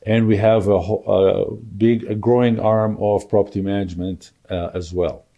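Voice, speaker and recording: Older man, dark mellow voice. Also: fairly low pitch, steady tone, highs cut off. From Israel. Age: 60s. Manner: measured, halting with many fillers. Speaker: podcast guest, real estate broker. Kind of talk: casual interview talk.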